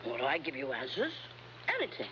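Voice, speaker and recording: Flirty female informant, very animated high voice, broken English, 1950s TV drama, faint hiss. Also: fairly quick, exaggerated voice. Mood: flirty, playful. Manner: coaxing, playful, broken English. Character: flirtatious, carefree foreign woman informant in Cairo. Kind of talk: 1950s television crime drama acting. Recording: dull, hissy old TV soundtrack.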